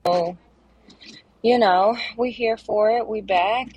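Laid back tired woman host, husky voice, real slow drawl. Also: medium pitch, a little mellow, rough recording. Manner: laid-back, drawn-out, slangy. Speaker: podcast co-host, hospital worker. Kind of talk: casual chat.

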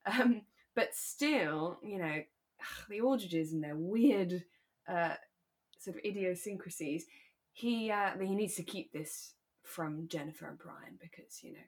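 A British woman co-hosting a podcast in a relaxed, chatty and reflective discussion of a radio drama. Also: on the low side, a little slow. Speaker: podcast co-host.

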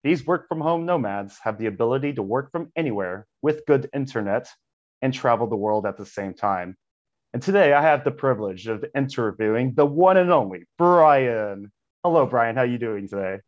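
A man's voice: medium pitch, normal speed. Chill guy hosting a podcast, kinda muffled lo-fi audio. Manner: relaxed, says "right on" often. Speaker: podcast host. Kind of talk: casual podcast interview. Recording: slightly dull lo-fi sound.

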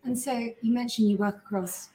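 A woman's voice: on the high side, fairly quick, dark tone, rough recording. A woman acting as interviewer, putting conversational questions to her guests on a panel.

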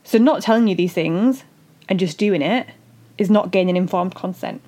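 Woman podcasting solo, voice gone a bit husky, earnest and emphatic, middling pitch. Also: moderate pace, warm tone. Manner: earnest, emphatic, explains step by step. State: husky voice after illness. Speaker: podcast host and doula. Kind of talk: solo educational podcast talk.

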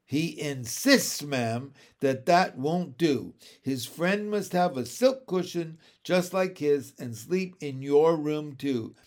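A man voicing a calm nanny in a storybook, firm and matter-of-fact in manner. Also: a little high, takes it slowly, few pauses.

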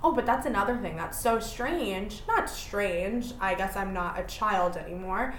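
A woman's voice: medium pitch, measured pace, roomy sound, a little hiss or hum, rough recording. A woman, hosting her own podcast and telling rambling, self-deprecating stories in casual chat. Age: 20s. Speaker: podcast host.

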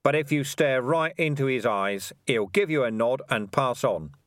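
A man voicing a fierce, scarred male rat clan leader who rouses his troops loudly and emphatically. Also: medium pitch, animated, moderate pace, no echo, studio quality, voice pushed up, natural voice. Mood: rousing. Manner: rousing, loud and emphatic.

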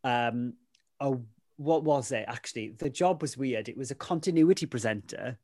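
A man's voice: mid-range voice, moderate pace, a little mellow, no room sound, studio quality. A man with a Welsh accent whose first language is Welsh, recounting his career as a guest in a casual interview, rambling with lots of "you know" fillers. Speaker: interview guest, weather presenter.

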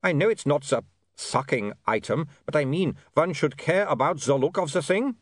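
A man voicing an earnest, higher-pitched polite vampire. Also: animated, moderate pace, no room sound, clean studio sound. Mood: wounded, put-upon. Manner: earnest, formally polite, slightly insistent. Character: amicable, eager-to-please reformed male vampire, earnestly polite. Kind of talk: audiobook character voice.

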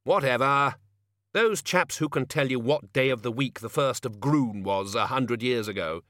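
A man's voice: mid-range voice, normal speed, a bit bright, no echo, studio quality, voice pushed up, lightly acted. A man voicing a pompous, hearty older male wizard who runs a college, in an audiobook. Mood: dismissive. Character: blustering, self-assured older male wizard, head of college. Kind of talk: audiobook character acting.

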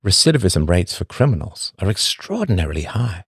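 Low-voiced man reading a lively monologue. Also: breathy edge, dry recording, studio quality. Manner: rhetorical questions with emphatic pauses. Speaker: podcast host.

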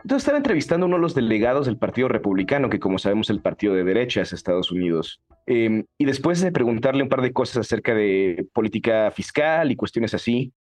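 A man's voice: medium pitch, sing-song, fast talker, hardly any pauses, darkish tone, dry recording. A Mexican man in his thirties with a Mexican accent, speaking candidly and animatedly as an interview guest, often ending points with a questioning tag. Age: 30s. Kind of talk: reflective conversational interview.

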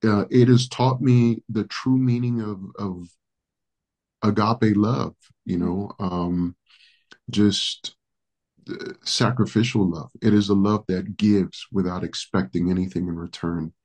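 A middle-aged pastor from the Dominican Republic, a man whose first language is Spanish, talks in a low, husky voice. He answers earnestly, stopping often to gather his thoughts. His speech has an unhurried interview-guest flow. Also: steady tone, darkish tone. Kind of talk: interview guest, reflective storytelling.

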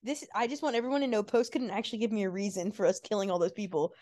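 A woman's voice: mid-range voice, steady tone, rapid-fire, warm tone. A young woman co-hosting a podcast in casual, slangy chat with a playful, mock-incredulous manner. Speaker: podcast co-host.

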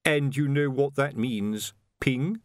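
A man voicing a stout middle-aged male sergeant who speaks slowly with quiet menace. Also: no echo, studio quality, lightly acted. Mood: stern. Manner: slow, low, pointed.

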